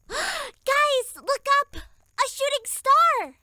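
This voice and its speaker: A woman playing a timid, excitable little girl camper in a scripted audio drama. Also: very high voice, extremely slow, dry recording, heavily acted. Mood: amazed.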